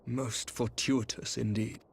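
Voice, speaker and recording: An actor playing a dignified, wary, courtly knight commander in a fantasy video game. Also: male voice, mid-range voice, flat delivery, slow pace, gravelly, husky, heavily acted. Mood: grave, courtly. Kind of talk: dramatic video game acting.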